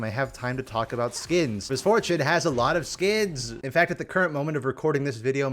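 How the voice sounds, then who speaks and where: A man's voice: mid-range voice, a bit bright. A man hosting a game review with casual, rambling gameplay commentary and sarcastic asides. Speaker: host of a game review series.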